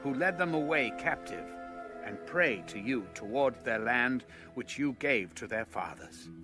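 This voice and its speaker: A man performs a devout king of ancient times in a dramatized audio Bible. His delivery is reverent, measured and solemn, in a medium-pitched male voice. Music plays clearly behind him. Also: lively intonation, natural voice. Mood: solemn pleading.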